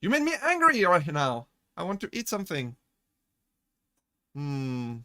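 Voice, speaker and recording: Young french man, french accent, bright voice, slightly high, casual stream chat, laughs a lot, dry close sound. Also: huge pitch swings, a little slow, no echo. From France. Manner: laughs often, restarts sentences, playful joking with chat. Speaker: VTuber streamer.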